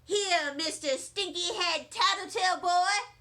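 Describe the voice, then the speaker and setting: A woman voicing a feisty, impish kindergarten girl in an expressive children's storybook reading, with emphatic, childlike phrasing. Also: very high-pitched, unhurried, exaggerated voice. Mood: sulky, defiant. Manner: childlike, emphatic, dramatic phrasing with kid grammar.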